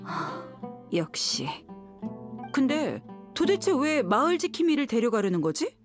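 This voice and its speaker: A woman's voice: high voice, big pitch swings, measured pace. An actress playing a confident, decisive mercenary woman in a video game, assured and blunt. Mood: wry, scornful. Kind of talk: game character dialogue. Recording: music behind the voice.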